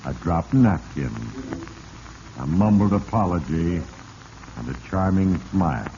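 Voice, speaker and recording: Dark-voiced Fate, an accusing old-radio narrator. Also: male voice, deep voice. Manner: deliberate, accusing second-person address. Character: Fate, an omniscient, stern, accusing male spirit narrator. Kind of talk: 1940s radio drama narration. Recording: dull, noisy vintage radio recording.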